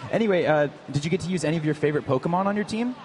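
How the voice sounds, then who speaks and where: A man's voice: a little high, very fast. A man hosting a live stage interview in a casual way, chuckling between questions. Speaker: stage host interviewing a champion. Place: event stage. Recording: noisy live event.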